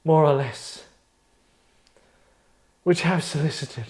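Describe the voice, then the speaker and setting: Dying Shakespearean prince, performed by a man, grandly tragic and declamatory, slow delivery, roomy sound. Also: fairly high pitch, darkish tone, pitched above the performer's own voice. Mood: dying, agonised. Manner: declamatory, halting, drawn-out phrases. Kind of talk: theatrical Shakespearean table read. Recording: roomy echo.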